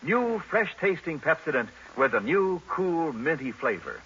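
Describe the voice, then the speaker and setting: A man's voice: fairly high pitch, sing-song, a little slow, a bit bright, slight background noise. A man announcing and selling in a 1940s radio style, emphatic and polished. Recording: muffled vintage radio broadcast.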